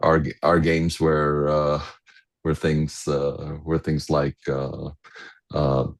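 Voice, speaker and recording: A middle-aged man with an exceptionally deep voice. He talks casually as a podcast guest, halting often as he searches for words. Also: completely flat delivery. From Egypt. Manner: hesitant, halting delivery with many pauses. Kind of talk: casual reminiscing conversation.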